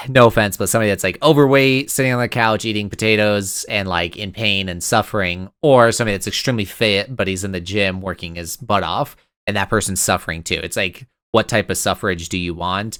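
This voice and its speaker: Lively young man, smooth dry voice. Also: lowish voice, no room sound. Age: 20s. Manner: excitable, rambling, quick to laugh. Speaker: podcast co-host. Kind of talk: casual chat.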